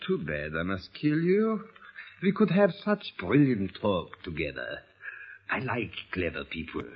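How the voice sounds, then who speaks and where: Husky, gloating Nazi colonel. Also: male voice, on the low side, full character voice. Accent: German. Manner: theatrical, taunting. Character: sadistic, gloating, fat Nazi colonel, quick to rage. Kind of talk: 1940s radio acting. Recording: muffled vintage radio recording.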